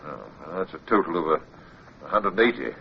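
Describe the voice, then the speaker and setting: An actor playing a fussy, irritable British naval captain in a radio drama, blustering and sceptical. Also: male voice, sits low, big pitch swings, normal speed, gravelly, full character voice. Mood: sceptical, testy. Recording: muffled, noisy old radio recording.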